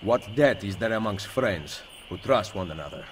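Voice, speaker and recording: A man's voice: lowish voice, expressive, moderate pace, a little hiss or hum, lightly acted. A man playing a resolute, commanding Italian assassin leader in a dramatic video game, with an Italian accent. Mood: determined. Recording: cavernous long reverb, music behind.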